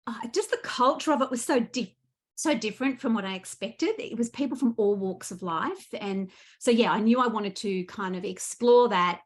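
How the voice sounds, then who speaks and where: A woman with an Australian accent speaking as a guest author in a casual interview, animated and enthusiastic as she tells her stories. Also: a little high, normal speed.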